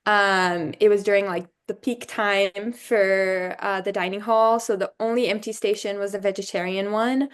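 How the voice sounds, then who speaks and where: A young woman from Brazil reflects on her studies and work in a casual interview. Her voice is smooth and clear, set at a middle pitch. She talks thoughtfully, pausing and filling gaps with "um" and "you know.". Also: steady tone. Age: young adult. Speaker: alumna guest.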